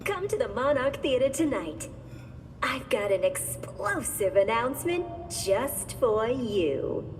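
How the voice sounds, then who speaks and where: A woman's voice: on the high side, big pitch swings, slow pace, echoey room, big-room sound, slight background noise. A woman playing a manic, theatrical villainess in a video game, preaching like a showy motivational guru. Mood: teasing, showy. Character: manic theatrical villainess selling self-help to crowds. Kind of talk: video game cutscene acting.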